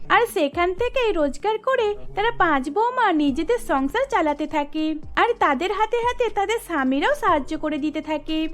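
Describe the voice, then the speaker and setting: A woman narrating a scripted cartoon tale as its storyteller. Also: very high voice.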